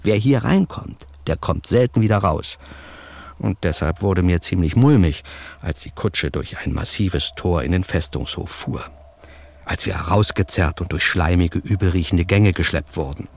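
Low-voiced, cheeky reporter sidekick. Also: male voice, expressive, normal speed, creaky, exaggerated voice. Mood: wry narration. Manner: wry, colloquial. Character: cheeky, jumpy male American reporter and storyteller sidekick. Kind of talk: radio drama acting and narration.

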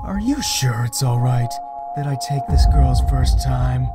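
A man's voice: mid-range voice, lively intonation, a little slow, loud music behind the voice, lightly acted. A man voicing a leering, menacing young delinquent in an anime-style dub.

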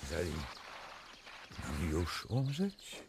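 A proud, tragic Polish king for radio drama. The low voice declaims solemnly with wide, anguished swings of pitch, over faint background noise. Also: huge pitch swings, drawn-out words, full character voice. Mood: anxious.

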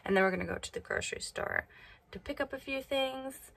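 A woman's voice: sits high, brisk, vocal fry, fairly bright tone, slight background noise. A woman chatting casually to camera as a family vlogger, recorded on a handheld camera. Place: small family home and yard outdoors. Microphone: camera or phone at arm's length.

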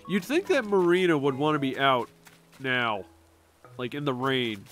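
A man's voice: mid-range voice, lively intonation, measured pace, a bit bright, soft background music. A man casually commenting as he plays a game on a stream, jokey and talking to himself, a bit sniffly from allergies. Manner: chatty, jokey, talks to himself and game characters. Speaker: gaming streamer.

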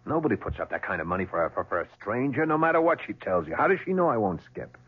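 A man's voice: fairly low pitch, sing-song, fast, breathy edge. An actor playing a desperate, suspicious man accused of murder, arguing insistently in a 1970s radio drama. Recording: muffled old radio broadcast.

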